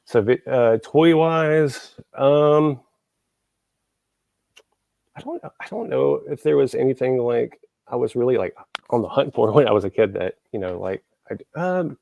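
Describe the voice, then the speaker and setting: A man's voice: medium pitch, a little slow, creaky, no echo, dull audio. A man from Texas, hosting a show and chatting casually, rambling with lots of fillers and restarts. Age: adult, grew up in the 1980s. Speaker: show host. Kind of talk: casual podcast chat.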